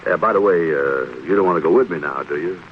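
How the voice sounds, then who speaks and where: A man's voice: low voice, fast, lightly acted. An actor playing a folksy, friendly man chatting casually with his pal in an old radio comedy. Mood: warm, casual. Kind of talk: 1940s-50s radio comedy acting. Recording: muffled old radio recording, faint noise.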